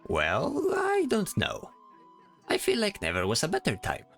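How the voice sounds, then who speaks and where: Man playing complaining bard, fussy, extremely varied pitch, fantasy audio drama, faint music. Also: unhurried, creaky, heavily acted. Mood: thoughtful, gentle. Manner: sighing, fussy, expressive. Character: chatty, complaining, worrying bard disguised in knight's armor.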